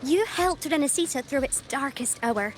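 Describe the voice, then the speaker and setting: A woman's voice: high-pitched, lively intonation, moderate pace, slight background noise. An actress playing a bubbly, generous female gelato vendor in a video game. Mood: cheerful, grateful.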